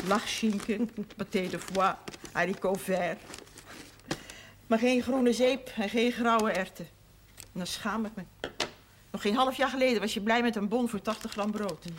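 An actress playing a friendly, modest widowed shopkeeper in a period television drama, chatting politely. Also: female voice, medium pitch, natural voice. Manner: chatty, polite serving manner. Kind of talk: naturalistic Dutch television period drama acting. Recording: noisy old TV soundtrack, crackling rustle.

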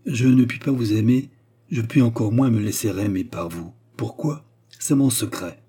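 Storybook role: repentant courtesan played by a male reader, solemn and commanding, declamatory, with a husky, dark tone. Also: medium pitch, normal speed, voice pushed up, natural voice. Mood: firm. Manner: formal, declamatory, emphatic. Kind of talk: dramatic storybook dialogue.

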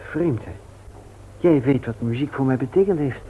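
Man acting sad weary widower, halting, mid-pitched, old radio drama, tinny and noisy. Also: natural voice. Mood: subdued. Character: Gerrit, grieving widowed father, subdued and weary. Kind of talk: Dutch radio play acting.

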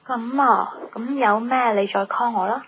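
An actress playing a gentle, forbearing young woman in love in a Cantonese radio drama, speaking with a Hong Kong accent. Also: fairly high pitch, a little slow, slight background noise. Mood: understanding, playful.

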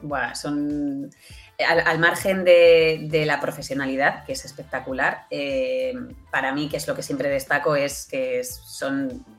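A woman's voice: low voice, steady tone, a little slow, soft background music. A woman answering as a guest in a conversational interview, in stop-start phrases dotted with checking tags. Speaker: interview guest, audio fiction producer.

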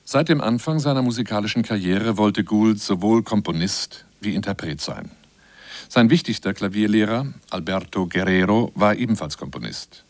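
Low-pitched man narrating, close dry sound. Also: animated, moderate pace, dry recording. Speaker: narrator and presenter. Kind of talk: scripted radio feature narration in German.

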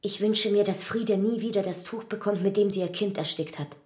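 A woman's voice: medium pitch, fairly even intonation, brisk, a little mellow, echoey room, close to an everyday voice. An actress playing a proud, courteous woman with regal dignity in a radio play, speaking formally and earnestly. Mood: earnest, pleading. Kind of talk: German radio play acting.

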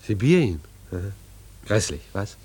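A man's voice: on the low side, big pitch swings, normal speed, a little mellow, slightly rough. A man in a 1940s radio drama playing a pompous, patronising cabaret director with a theatrical, declamatory manner. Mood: condescending curiosity.

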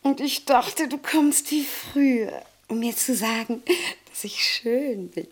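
A woman's voice: fairly high pitch, slow pace. A woman in a German radio play, playing a vain ageing lady of the house with a theatrical, wistful, coaxing manner. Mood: dreamy, reproachful.

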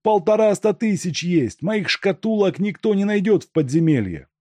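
Cornered thug, high and strained, begging and snarling by turns; male narrator puts him on. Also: expressive, moderate pace, darkish tone, no room sound. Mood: pleading. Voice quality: strained, raised and pleading. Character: desperate captured male robber, pleading then menacing. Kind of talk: audiobook character voice.

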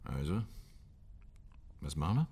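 A man's voice: very deep voice, very animated, a little slow, warm tone, breathy edge, heavily acted. A man playing a terse, sceptical state premier in a German radio drama, speaking in clipped, dry questions.

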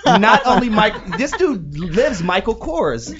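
A man's voice: high voice, sing-song, slow pace, a crowd in the background. A male radio show host in casual, joking studio banter, loose and profane, laughing often. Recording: muffled, noisy webcast audio.

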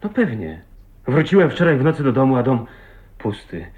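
An actor playing a tender, earnest husband in a radio drama, with a husky voice and an intimate, unhurried manner. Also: male voice, medium pitch, sing-song. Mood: tender reproach. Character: tender, earnest husband, a lonely millionaire's son. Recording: dull, muffled old radio recording.

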